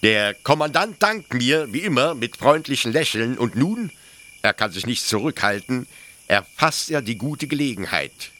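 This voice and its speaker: A man's voice: medium pitch, big pitch swings, moderate pace. A man playing a fanatical, agitated colonial officer in a radio play, pleading insistently and stammering. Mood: tense, eager. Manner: feverish, stammering, insistent, swinging from hushed to shouting. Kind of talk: German radio play acting.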